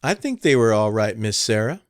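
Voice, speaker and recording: A man's voice: lowish voice, big pitch swings, normal speed, dry recording, clean studio sound. A man doing a weary old woman cook. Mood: exasperated. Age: older. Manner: muttering, exasperated complaints.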